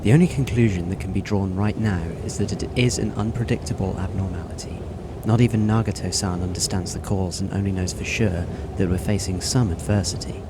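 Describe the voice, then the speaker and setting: A man playing a polite, composed teenage boy in a fan audio drama, speaking in a formal, measured, analytical way. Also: deep voice, steady tone, background noise.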